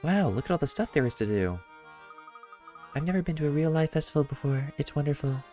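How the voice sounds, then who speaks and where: A man voicing a sweet, sheltered young girl from a puzzle game, in a light falsetto. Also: lively intonation, fairly quick, darkish tone, faint music behind, close to an everyday voice. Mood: amazed.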